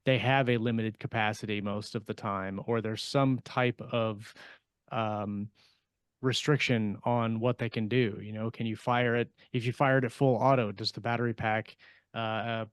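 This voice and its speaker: A man co-hosting a podcast in casual discussion, explaining things haltingly as he thinks aloud. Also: on the low side, clean tone, no echo, studio quality. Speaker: podcast co-host and fiction writer.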